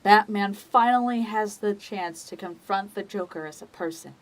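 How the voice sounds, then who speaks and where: A woman giving scripted dramatic narration as the narrator of a story, in a measured, deliberate manner. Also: mid-range voice.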